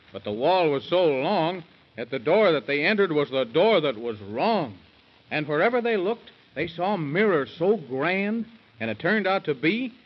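A man narrating a rhymed children's bedtime story in a theatrical, sing-song 1930s radio style. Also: on the high side. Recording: muffled 1930s radio recording.

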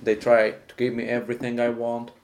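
A man's voice: medium pitch, monotone, a little fast, a little mellow, a little hiss or hum. Young man, casual guest. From Syria. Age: 20s. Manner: friendly, agreeable, short replies. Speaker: podcast guest. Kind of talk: casual interview chat.

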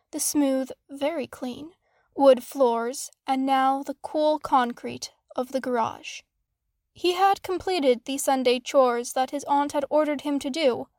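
A woman's voice: high voice, darkish tone, no room sound, studio quality. A woman reading a story aloud as a scripted fan fiction narrator. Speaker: audiobook narrator.

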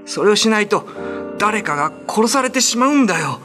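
A man's voice: high voice, lively intonation, a little fast, voice pushed up. A man playing a troubled young village man in a radio drama, speaking in a strained, anguished way. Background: music.

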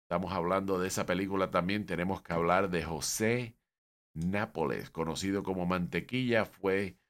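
Low-voiced man, rambling monologue. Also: normal speed, fairly bright tone. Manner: rambling, frequent restarts and repeated words. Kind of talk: unscripted monologue on film and wrestling history.

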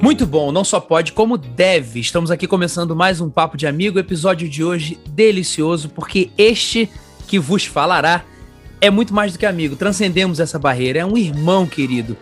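A man's voice: on the high side, expressive, brisk, soft background music. A man with a Carioca accent hosting a casual podcast chat, speaking in an effusive, informal way. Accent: Carioca (Rio de Janeiro) Brazilian Portuguese. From Brazil, born in Bahia, raised in Rio de Janeiro. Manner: effusive, informal, addresses guest affectionately. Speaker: podcast host.

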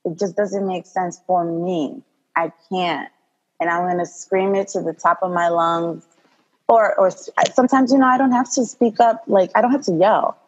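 A woman in her thirties from Congo talks as a guest on a casual podcast, calm and direct, and she laughs often. Her voice is slightly husky. The recording sounds muffled and lo-fi. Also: on the low side, moderate pace. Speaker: guest, doula.

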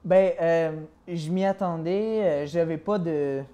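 Young French man, mellow voice. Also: fairly high pitch, takes it slowly, muffled recording. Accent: French, partly Québécois. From France. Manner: animated storyteller, acts out dialogue, laughs often. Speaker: guest. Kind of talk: casual interview chat.

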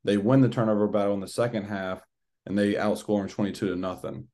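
A man in his twenties with a hoarse, worn voice hosts a casual sports podcast. His tone sits low and dark, and he talks like an excited, rambling fan. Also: fast talker. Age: 20s. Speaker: podcast host.

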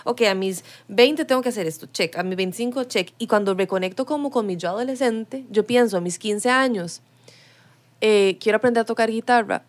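A woman's voice: medium pitch, a little fast. A woman, a panelist chatting casually and rambling with many fillers. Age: 30s.

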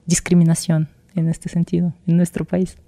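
A young woman explaining things in an unhurried way, her voice low for a woman and dark in tone. The sound is dry and close, with no room around it. She talks thoughtfully, restarting words now and then. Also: monotone, vocal fry, no room sound. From Russia. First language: Russian. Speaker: interview guest. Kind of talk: casual interview conversation.

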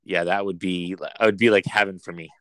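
A man's voice: deep voice, little pitch movement, a little fast, a bit bright, clean tone. A man co-hosting a casual podcast chat, joking around and slipping into a mock commercial-announcer voice. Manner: playful, slips into a mock ad-announcer voice. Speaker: podcast co-host.

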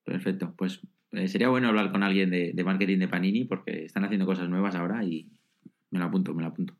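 A man's voice: low-pitched, steady tone, quick pace. A man hosting an interview podcast, friendly and a bit hesitant, with many restarts as he sets up questions. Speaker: podcast host.

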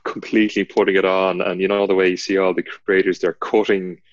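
A young man with a nasal voice, co-hosting a podcast in an understated, low-key way. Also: fairly quick, no echo. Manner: low-key, not very expressive. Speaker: podcast co-host. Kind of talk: casual chat. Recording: dull, lo-fi, dry sound.

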